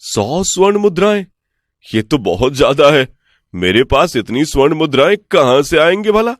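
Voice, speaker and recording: Credulous greedy temple priest voiced by a man, animated swings in pitch, slightly high, excitable, cartoon folk tale. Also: normal speed, dry recording, studio quality. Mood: amazed, credulous.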